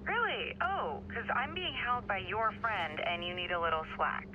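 An actress playing a sarcastic, exasperated sister in a video game, over a phone line. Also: female voice, medium pitch, lively intonation, normal speed. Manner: sardonic, teasing. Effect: phone call filter. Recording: dull phone line with noise.

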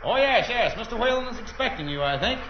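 A man's voice: sits high, big pitch swings, fast. A man playing a courteous, helpful gate policeman in a 1930s radio comedy, formal and precise. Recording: echoey, noisy, lo-fi 1930s radio recording.

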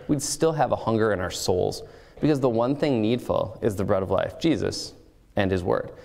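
A man's voice: medium pitch, gravelly, a little mellow. Young man preaching in an echoey chapel. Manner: earnest, reads scripture aloud with emphasis. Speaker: chapel speaker. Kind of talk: devotional sermon. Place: large school chapel. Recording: echoey hall with long reverb.

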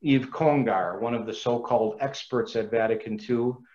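A man giving an earnest, measured talk on a video call, quoting sources at length. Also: medium pitch, darkish tone, lo-fi sound.

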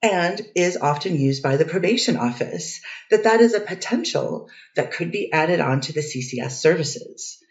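A woman's voice: fairly low pitch, expressive, moderate pace, hardly any pauses. A woman reading the part of a defense attorney in a courtroom transcript reading, asking methodical cross-examination questions. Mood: steady. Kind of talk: readers theater courtroom reading. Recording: dull distant echoey recording.

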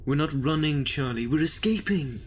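A man playing an ancient, quick-witted time traveller in an amateur audio drama. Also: fairly high pitch, lively intonation, breathy edge. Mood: resolute.

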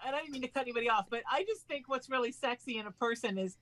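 Earnest woman with a brighter, higher voice. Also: very fast, over a noisy crowd, low-quality recording. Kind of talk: casual group chat.

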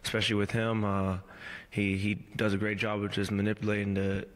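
A man in his thirties answering reporters at a postgame press conference, measured and halting, with many fillers and restarts, speaking into a table mic in a press room. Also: on the low side, monotone, in a large hall. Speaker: pro basketball player at postgame press conference. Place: press room before a team backdrop. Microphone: table mic on a stand.

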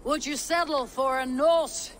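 An actor playing a wry, confident Viking raider in a video game. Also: male voice, extremely high pitch, steady tone, unhurried, a bit bright, slight background noise.

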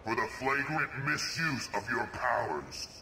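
A man's voice: mid-range voice, very animated, a little slow, pushed and bright, soft background music, exaggerated voice. An actor playing a solemn ancient king who passes judgement in a grave, formal, declamatory manner. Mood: grave accusation. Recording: echoing, noisy cutscene audio.

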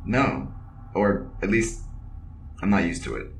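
An actor playing a reserved, polite cyborg officer in an audio drama, speaking in a formal, measured deadpan. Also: male voice, deep voice. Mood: reserved, matter-of-fact.

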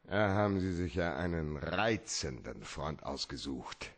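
An actor playing a hard-boiled, cynical private detective in a German radio play, terse and dryly probing. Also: male voice, rumbling low, lively intonation, a little slow, breathy edge, exaggerated voice. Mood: probing, sardonic.

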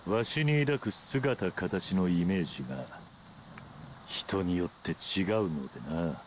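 An actor playing an ancient prophet in a dark sorcerer's form, speaking gravely and unhurriedly in archaic old-man diction in a game. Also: sits low, sing-song, a little slow, slight background noise. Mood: grave, cryptic. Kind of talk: dramatic game cutscene acting. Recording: game scene with falling rain.

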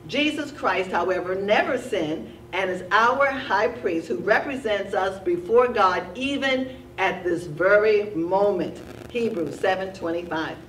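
A woman's voice: medium pitch, slight background noise. Woman teaching Bible class in a church. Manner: measured reading, slows to stress key words. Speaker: Sunday school teacher. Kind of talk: teaching a Bible lesson, reading from slides. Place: church sanctuary at a lectern. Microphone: lectern mic, filmed from a distant camera. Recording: roomy church sound from a distance.

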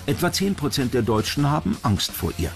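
A man's voice: medium pitch, big pitch swings, brisk, gravelly. A man from reading scripted documentary narration. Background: music bed under the voice.

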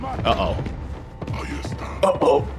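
A man in his thirties streaming a horror game live, joking and laughing at himself as he plays, on a headset mic. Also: very slow. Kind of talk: live gameplay commentary. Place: home room, indoors. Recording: game music behind voice.

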